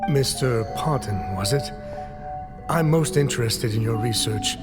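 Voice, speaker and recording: A man voices a suave, calculating schemer in a video game. The pitch is medium and the manner courteous, with loud music around it. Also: expressive, a little slow, close to an everyday voice. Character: smooth, courteous male schemer making an offer. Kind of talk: video game flashback dialogue.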